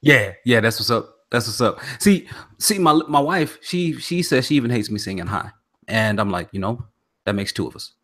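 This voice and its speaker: Slangy animated baritone man host. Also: moderate pace. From Oklahoma. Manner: slangy, animated, laughs often. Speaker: podcast host. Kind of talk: casual podcast chat.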